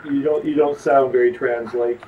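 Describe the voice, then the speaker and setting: A man streaming a video game, chatting casually with fellow players in a halting way, repeating words as he goes. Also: medium pitch, fairly even intonation, recorded from a distance, a crowd in the background. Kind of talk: casual gamer voice-chat banter. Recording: dull, noisy headset audio, off mic.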